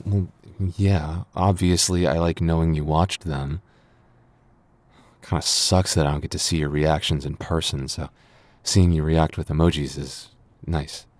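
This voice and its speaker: A man's voice: extremely low pitch, steady tone, unhurried, a little mellow. A man playing a flustered young man with a secret crush in an intimate boyfriend ASMR roleplay, stammering and getting defensive. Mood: playful. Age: young adult.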